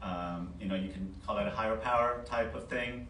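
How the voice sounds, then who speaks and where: A man's voice: fairly low pitch, brisk, fairly bright tone. A man speaking off the cuff as a candidate at a public forum. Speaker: school board candidate at a forum. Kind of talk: unscripted public forum answer. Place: meeting board room. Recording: echoey board room, distant mic, background noise.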